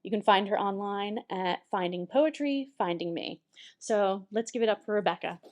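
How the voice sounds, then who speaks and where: A woman's voice: mid-range voice, lively intonation, normal speed. A woman hosting a poetry slam at a public library, welcoming the audience and introducing the featured poets with upbeat energy. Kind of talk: emcee introduction to a live audience. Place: public library event room.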